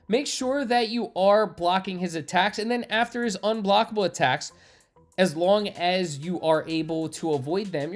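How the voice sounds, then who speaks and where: A man giving enthusiastic, explanatory video game walkthrough commentary as the creator of a gaming channel. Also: on the high side. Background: game music.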